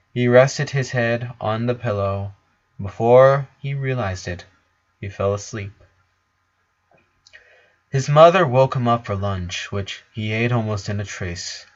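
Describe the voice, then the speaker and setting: A man's voice: medium pitch, lo-fi sound. A man reading a dramatic story aloud as narrator. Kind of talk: dramatic fan fiction narration.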